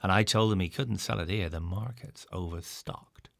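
A man voicing a wry older male innkeeper who talks in a folksy, rustic drawl, often low and hushed. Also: moderate pace, vocal fry, no echo, studio quality. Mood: teasing. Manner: rustic drawl with dropped words.

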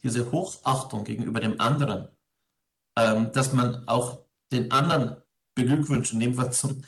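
A man's voice: mid-range voice, moderate pace, gravelly. A man from Ingolstadt in Germany, a parish priest, answering interview questions in a reflective, conversational way with frequent hesitations. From grew up in Ingolstadt, Germany.

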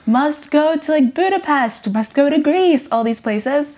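A woman's voice: high voice, animated, measured pace, darkish tone. A young woman from Zimbabwe, a podcast guest chatting casually, with lots of "like" fillers. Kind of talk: casual interview chat.

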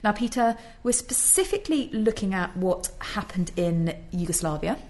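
A woman's voice: medium pitch, very animated, normal speed, darkish tone. A woman hosting a discussion podcast, sounding nasal from a head cold and talking conversationally with fillers. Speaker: podcast host. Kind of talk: casual discussion.

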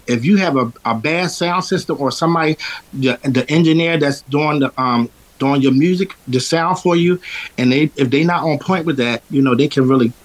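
A man's voice: on the high side, low-quality recording. Rambling man, singer telling road stories. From Philadelphia. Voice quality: strong, powerful voice. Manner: rambling, with many fillers and restarts. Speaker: singer and interview guest. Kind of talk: casual interview chat.

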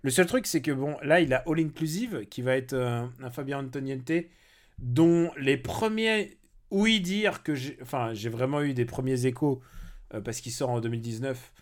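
A man in casual podcast conversation, opinionated and talking at length in long, looping stretches. His pitch sits in the ordinary middle range for a man, and his delivery stays unscripted and chatty. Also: moderate pace. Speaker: podcast guest, comedy film critic.

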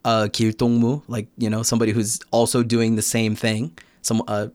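A man's voice: fairly low pitch, monotone, quick pace, darkish tone, dry recording. A young American man talking reflectively as a podcast guest, explaining his thoughts in a casual conversational way. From United States. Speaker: podcast guest, Korean learner.

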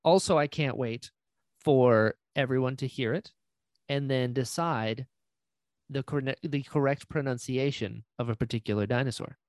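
A man's voice: medium pitch, normal speed, darkish tone, no room sound. A man hosting a casual interview, talking in an enthusiastic, gushing way and teasing playfully. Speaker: podcast host and voice actor.

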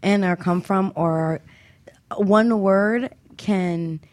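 A woman co-hosting a casual, reflective radio discussion, speaking in a halting way as she searches for words. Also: mid-range voice, a little mellow, no room sound. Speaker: radio show co-host.

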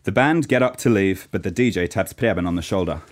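A man's voice: sits low, a little fast. A man reading the scripted narration of an amateur radio play.

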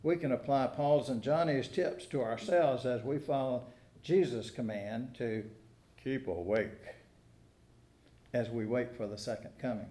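An American man preaching a sermon in a folksy, conversational way, pausing deliberately between phrases. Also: medium pitch, dark tone. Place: church sanctuary during a service. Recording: echoey room sound.

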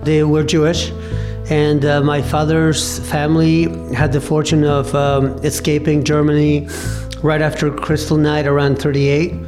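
A man in his fifties from Argentina, telling his life story in an interview with a thoughtful, halting manner and many pauses. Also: on the high side, monotone, over music. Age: 50s. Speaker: interview guest, cafe owner. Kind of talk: reflective personal storytelling.

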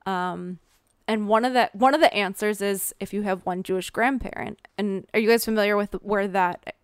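A woman's voice: mid-range voice, normal speed, clean tone, dry recording, studio quality. Thoughtful woman with a Minnesota accent. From American Midwest. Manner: thoughtful, with frequent um fillers and restarts. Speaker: author being interviewed. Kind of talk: reflective interview answers.

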